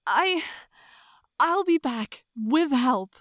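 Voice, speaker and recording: Young princess, female actor: high, very slow and halting, fearful, fantasy audio drama. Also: expressive, dry recording, clean studio sound, heavily acted. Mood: frightened, hesitant. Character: frightened young princess, hesitant and worried for her knights.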